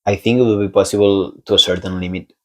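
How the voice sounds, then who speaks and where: A young man from Spain with a Spanish accent, a podcast guest talking in a relaxed, halting way and laughing often. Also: deep voice. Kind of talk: casual conversation.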